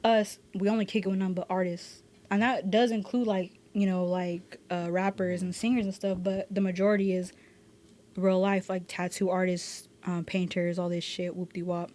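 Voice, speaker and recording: Animated tattoo artist woman, slangy chat. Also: fairly low pitch, normal speed. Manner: animated, slangy, swears freely. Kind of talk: casual podcast chat.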